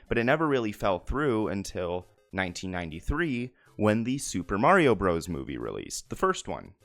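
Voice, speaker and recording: A man's voice: mid-range voice, a bit bright. A man narrating a video essay in an explanatory, conversational way with emphatic asides. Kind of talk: narrated video essay, semi-scripted commentary.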